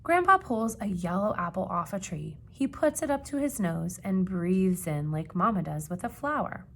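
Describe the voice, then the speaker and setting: A woman reading a children's picture book aloud like a teacher, with expressive emphasis and questions put to young listeners. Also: mid-range voice, creaky. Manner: expressive, dramatic gasps and emphasis, pausing to ask questions.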